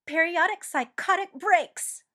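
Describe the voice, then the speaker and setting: A woman's voice: sits high, very animated, moderate pace, a bit bright, voice pushed up, exaggerated voice. A woman voicing a brash, hot-tempered young man in a read-aloud story, snapping with indignant outbursts. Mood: furious.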